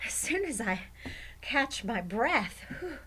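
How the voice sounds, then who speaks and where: A woman's voice: on the high side, very animated, takes it slowly, bright, forceful tone, slight background noise, full character voice. A woman voicing a clever female turtle in a folktale, coaxing and persuasive. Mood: tired, reassuring.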